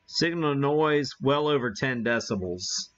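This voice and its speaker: A man hosting a live hobby stream, giving a casual demo and thinking aloud in a halting way. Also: medium pitch, expressive, fairly bright tone, highs cut off. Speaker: ham radio live stream host.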